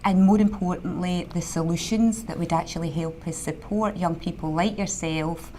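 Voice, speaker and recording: A woman's voice: fairly low pitch, fairly even intonation, normal speed, warm tone, a little hiss or hum. A Scottish woman, a mentor in a school, talking earnestly with a former student. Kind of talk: interview conversation.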